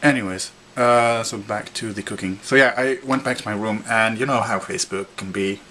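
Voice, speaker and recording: A man's voice: lowish voice, normal speed, sharp tone, a little hiss or hum. A young man from Norway with a Norwegian accent, telling a rambling story in a casual vlog, full of fillers, restarts and chuckles. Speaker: vlogger.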